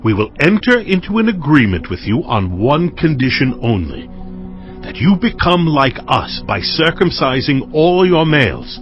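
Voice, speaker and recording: Indignant young brother, wildly swinging pitch. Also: male voice, very animated, unhurried. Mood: firm, bargaining. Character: indignant, bargaining young brother in biblical times. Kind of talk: dramatized audio Bible acting. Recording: dull sound, light music behind.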